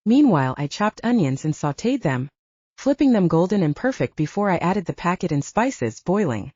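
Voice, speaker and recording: A woman's voice: on the low side, very animated, moderate pace, mellow, breathy edge, no room sound, highs cut off. A woman reading scripted first-person audiobook narration.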